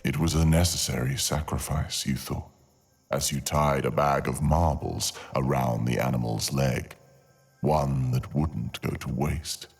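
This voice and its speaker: Very deep, gravelly, slow male storyteller. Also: fairly even intonation, soft background music. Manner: dramatic, deliberate storytelling with long pauses. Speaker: audio storyteller, narrator. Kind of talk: scripted fantasy narration, ASMR audio.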